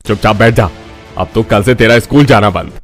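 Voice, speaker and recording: Man acting a deep, stern father. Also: a little fast, soft background music, close to an everyday voice. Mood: angry. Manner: commanding, scolding. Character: stern, angry, domineering middle-aged father. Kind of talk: Hindi cartoon family drama acting.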